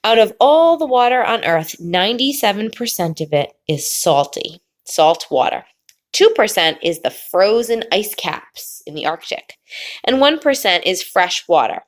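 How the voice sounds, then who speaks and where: Slow sing-song teacher reading aloud. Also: female voice, mid-range voice, very animated. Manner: slow, drawn-out reading with sing-song pauses before the last word; explains pictures in a friendly teacherly way. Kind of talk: children's read-aloud.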